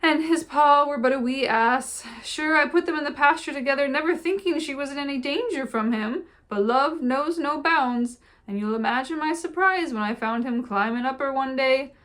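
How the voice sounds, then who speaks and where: A woman's voice: sits high, fairly bright tone, pitched above the performer's own voice. A woman voicing an old male farmer who spins a sentimental, folksy tale about his mule. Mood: tall tale. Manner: folksy, drawn-out storytelling.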